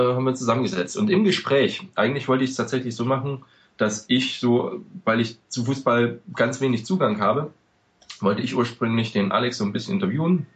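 Rambling, chatty German podcast host. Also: male voice, mid-range voice. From eastern Germany. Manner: chatty, many "ähm" fillers, loose rambling explanations. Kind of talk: casual podcast chat. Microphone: home microphone over a call.

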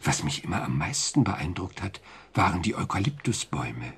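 Radio play psychiatrist, really husky, voice moves up and down a lot, clinical but reassuring, bit hesitant. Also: male voice, low voice, sing-song, moderate pace, fairly bright tone, full character voice. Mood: pensive, puzzled. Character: conscientious male psychiatrist, probing, reassuring, easily unsettled. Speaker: doctor. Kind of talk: German radio drama acting.

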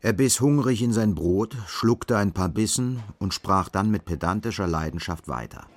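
A man's voice: fairly low pitch, expressive, normal speed. A man narrating a literary radio drama in scripted prose.

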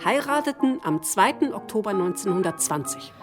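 A woman reads documentary narration in a factual, even way. Faint music sits beneath her voice. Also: mid-range voice, moderate pace.

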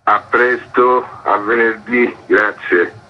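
A man's voice: on the low side, fairly even intonation, slow, muffled recording. A man in his sixties, an artist being interviewed, talking in an opinionated, colloquial, conversational way.

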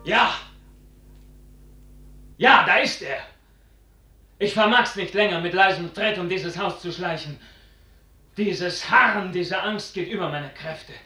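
A man's voice: high voice, takes it slowly, pauses often, sharp tone, faint music behind, full character voice. A man acting an impassioned young noble officer, anguished by love, fervently declaiming verse in a 1950s radio drama. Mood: desperate. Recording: echoey 1950s radio recording.

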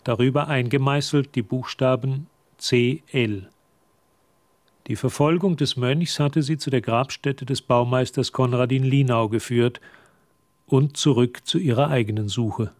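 A man's voice: mid-range voice, a little slow, no echo, natural voice. A man reading scripted narration for a radio drama, telling the story as narrator. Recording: clear ambient noise behind voice.

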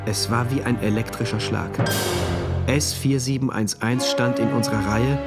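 An actor playing an awed, uneasy diarist, a loyal citizen of a dystopia, narrating a German radio play in a measured, literary way. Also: male voice, medium pitch, steady tone, close to an everyday voice. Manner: measured, literary, solemn recounting. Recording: loud music under the voice. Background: music.

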